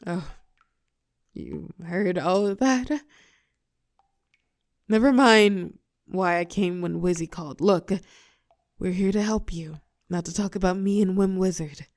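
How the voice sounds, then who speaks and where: A woman playing a telepathic villain and former hero in a roleplay audio drama, protective and sharp-tongued, with a breathy voice that often drops to a whisper. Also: lowish voice, no echo, clean studio sound. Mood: calm, reassuring. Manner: slow, commanding yet tender coaching.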